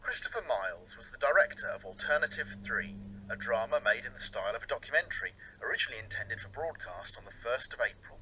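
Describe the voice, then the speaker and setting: A man's voice: mid-range voice, expressive, fast talker, sharp tone, a little hiss or hum, poor audio. A man with a British accent narrating a scripted radio feature as a reporter.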